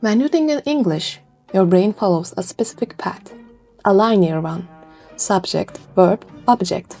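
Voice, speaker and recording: A woman's voice: medium pitch, animated, unhurried, dark tone. A woman presenting a scripted educational video essay, speaking earnestly and expressively straight to the listener. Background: background music.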